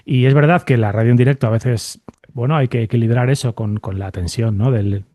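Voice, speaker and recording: A man's voice: medium pitch, steady tone, a little fast, dark tone, dry recording. A man with a Spain Spanish accent hosting a podcast interview, thinking aloud in a casual, reflective way and often checking for agreement. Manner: thinks aloud, seeks agreement with tag questions. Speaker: podcast host interviewing a guest.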